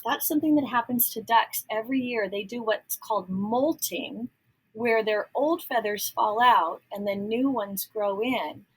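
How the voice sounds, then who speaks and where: A woman reading a children's storybook aloud expressively, pausing to add simple explanatory asides. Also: on the high side, husky, low-quality recording. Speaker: storybook reader.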